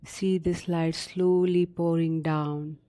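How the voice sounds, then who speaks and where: Woman doing a sleep meditation, really slow and mellow, soft even tone, lots of pauses. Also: fairly low pitch. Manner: soothing, unhurried instructions with long pauses. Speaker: meditation guide. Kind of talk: guided sleep meditation narration.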